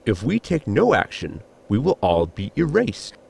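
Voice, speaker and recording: A man voices a proper, dutiful starship crewman in an audio sci-fi comedy. The voice is husky and full of fry, with lively pitch movement and polite, exact phrasing. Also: heavily acted. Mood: polite, resolute. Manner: polite, precise, deliberate wording. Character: formal, dutiful male spaceship crewman, calm under fire. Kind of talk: science fiction comedy audio drama acting.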